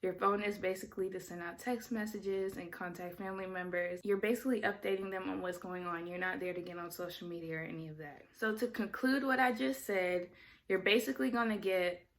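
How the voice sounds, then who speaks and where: A young adult woman talking straight to her viewers in a casual vlog. She explains things in a chatty, easygoing way, pausing to correct herself now and then. Her voice sits at a medium pitch for a woman. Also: steady tone. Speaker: vlogger.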